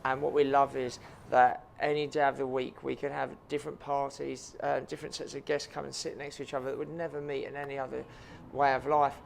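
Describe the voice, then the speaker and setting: A man's voice: medium pitch, little pitch movement, fairly quick, vocal fry, few pauses, slight background noise. A man, a chef and restaurant owner answering interview questions earnestly, with frequent ums and repeated words.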